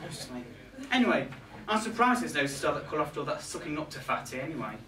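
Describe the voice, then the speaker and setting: A man's voice: on the high side, very animated, fast, sharp tone, recorded from a distance. Young guy playing a bitchy grumbling reindeer, indignant and gossipy, really swoopy voice, echoey pub stage reading. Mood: sarcastic, gossipy. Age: young adult. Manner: indignant, gossipy, arch asides to the audience. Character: catty, resentful male reindeer grumbling about Santa's crew. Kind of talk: comic stage monologue read to a live audience. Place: small pub room with a live audience. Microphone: far camera. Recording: echoey room from afar, background noise.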